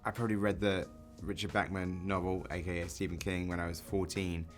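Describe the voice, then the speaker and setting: A film director talks through his answers in an interview, circling back and restarting as he thinks. His voice sits very low, and a little music plays faintly behind him. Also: little pitch movement, brisk. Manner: thoughtful, restarts and reframes mid-sentence.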